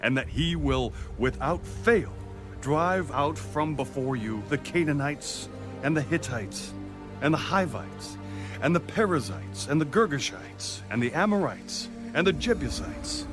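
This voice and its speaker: A man playing a solemn, commanding leader of his people in a dramatized Bible, declaiming deliberately. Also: on the high side. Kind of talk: dramatized audio Bible acting.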